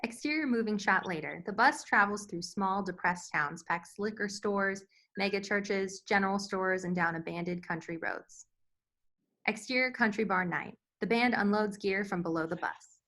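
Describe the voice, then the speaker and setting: A woman's voice: mid-range voice, moderate pace, a bit bright. A woman reading screenplay stage directions as scripted narration at a table read.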